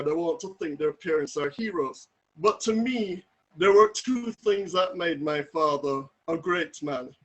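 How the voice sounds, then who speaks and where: A man giving a tribute to his late father over a video call, reminiscing in a relaxed, conversational way with frequent fillers. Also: on the high side, rough recording. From Jamaica. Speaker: son giving a memorial tribute. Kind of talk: personal spoken tribute. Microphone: video call mic.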